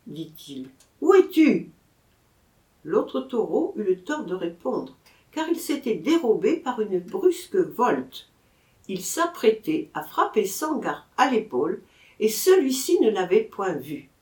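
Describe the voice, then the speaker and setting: A woman narrating a literary story as an audiobook reader, with deliberate, dramatic phrasing. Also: medium pitch. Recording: roomy, echoing room sound.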